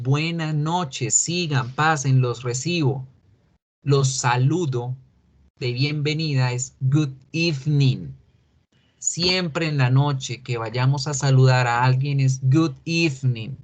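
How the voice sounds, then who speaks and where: A man speaking as an English teacher, explaining a recorded lesson patiently and repeating key words slowly. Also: mid-range voice. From Colombia.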